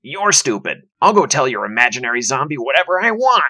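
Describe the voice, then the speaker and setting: A man's voice: mid-range voice, big pitch swings, a little fast, bright, forceful tone, exaggerated voice. A man voicing a scornful young bully boy with a taunting, sneering manner. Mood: sneering contempt.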